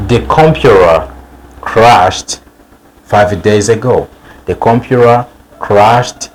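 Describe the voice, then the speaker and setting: A man teaching English vocabulary in a lesson, saying example sentences slowly and repeating them for learners. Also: fairly low pitch, slight background noise, rough recording. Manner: slow, drawn-out model sentences repeated for learners. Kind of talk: bilingual language lesson.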